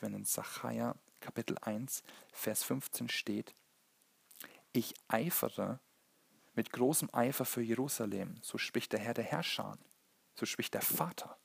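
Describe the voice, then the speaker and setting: Husky, low-voiced preacher man. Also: dry recording. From Germany. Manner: earnest, halting, searching for words. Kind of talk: sermon, Bible teaching.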